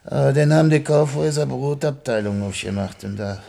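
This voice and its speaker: Radio play: chatty older Berlin tradesman, rough fry in the voice, plain-spoken and dramatic. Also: medium pitch, fairly quick, dark tone. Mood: chatty, stubborn. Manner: colloquial, rambling, dramatic storyteller. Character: chatty, stubborn, plain-spoken older retired baker, a hospital patient. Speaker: patient. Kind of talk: German radio play acting.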